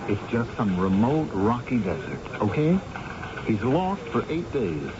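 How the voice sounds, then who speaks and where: Radio drama casting: an insistent, persuasive reporter with a sales-pitch delivery. Lively intonation, muffled old recording. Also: male voice, a little slow, a crowd in the background. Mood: eager, persuasive. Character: persuasive, fast-talking male newspaper reporter, insistent.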